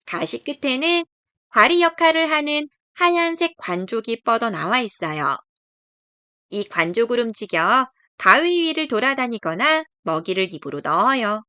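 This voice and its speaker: A woman's voice: a little high, expressive, normal speed, pushed and bright, smooth, no echo. A woman narrating a scripted children's nature book in a careful, explanatory read-aloud way. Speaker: storybook narrator.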